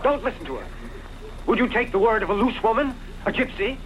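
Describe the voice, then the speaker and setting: A scheming villain acted by a man blusters theatrically in a voice that swings widely in pitch. It is a dubbed costume melodrama, with dull sound and some faint noise. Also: expressive, a little fast. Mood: blustering denial. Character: scheming male villain, accused murderer seeking power. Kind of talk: dubbed sword-and-sandal film melodrama. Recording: dull, noisy fan-dub audio.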